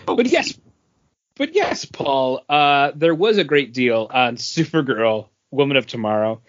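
Guy cohosting a review podcast, kinda halting, chatty, slower talker, lo-fi sound. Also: medium pitch, expressive. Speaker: podcast co-host reviewing comics. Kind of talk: casual talk, book review.

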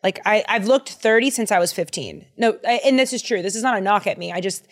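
A young adult woman co-hosting a podcast in casual chat, playful and animated, slipping into little voices. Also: mid-range voice, quick pace. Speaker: podcast co-host.

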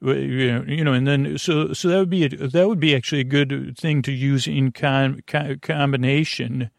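A man with a lower-middle, creaky voice full of fry, recorded close and dry. He hosts a sleep podcast in a rambling monologue. He meanders, halts and trips over words as he drifts from one thought to the next. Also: fairly even intonation, no echo. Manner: meandering, halting, trails off and stumbles over words. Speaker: podcast host.

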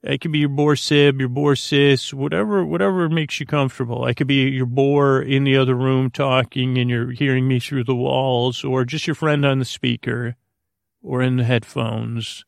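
A man with a creaky, gravelly voice talks in a flat, lulling way, like a friendly bedtime podcast host. He meanders, stops and restarts, and drifts off mid-thought. The sound is close and dry. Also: mid-range voice, dry recording. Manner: meandering, halting, repeats and trails off mid-thought. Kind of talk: rambling bedtime monologue to help listeners sleep.

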